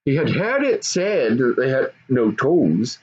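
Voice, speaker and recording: A man's voice: mid-range voice, big pitch swings, measured pace, darkish tone, muffled recording, slight background noise. An older man reading a children's storybook aloud as narrator. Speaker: storybook reader.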